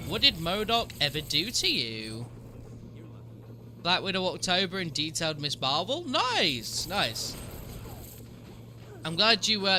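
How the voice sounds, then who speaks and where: Man, game streamer, sniffly with a cold, very bright sharp tone, slightly high voice, chatty. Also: a little hiss or hum. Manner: chatty, answers trivia aloud, jokes with chat. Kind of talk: casual live-stream commentary.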